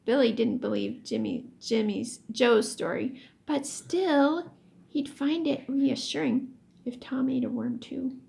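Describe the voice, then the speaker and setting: Animated high-voiced woman reading to children. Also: creaky. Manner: animated storytelling, pauses to explain words. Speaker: teacher reading a book aloud. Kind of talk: expressive children's read-aloud with word explanations.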